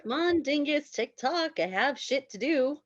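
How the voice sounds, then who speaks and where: A woman's voice: high-pitched, animated, unhurried, no echo, lo-fi sound. A woman in a comedic table read, playing a smug, crude, callous man with cocky, taunting delivery. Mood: cocky, leering. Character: smug, crude, callous male casual lover.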